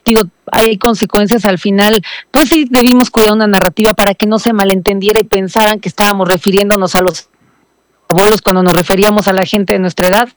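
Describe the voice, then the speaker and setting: A woman's voice: mid-range voice, steady tone, fast, no echo. A woman, answering interview questions over a remote link, explaining and apologizing. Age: 40s. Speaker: lawmaker interviewed as guest. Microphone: remote call link.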